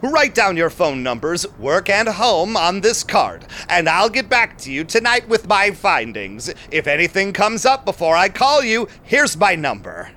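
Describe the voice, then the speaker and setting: Guy doing a slick vampire businessman in an audio drama, sly schemer, bright voice, swoopy pitch, takes his time. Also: sing-song, unhurried, hardly any pauses, heavily acted. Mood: reassuring, businesslike. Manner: sly, self-assured storyteller. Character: smooth, scheming male vampire businessman with casino ambitions. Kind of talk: audio drama acting and first-person narration.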